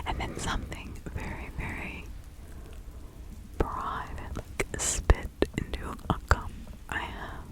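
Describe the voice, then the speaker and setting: A woman whispering slowly and breathily in an ASMR roleplay, playing a kindly, protective witch who gives careful instructions. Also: poor audio. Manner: slow, deliberate, instructing with soft repetition. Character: kindly, protective 17th-century witch woman giving counsel.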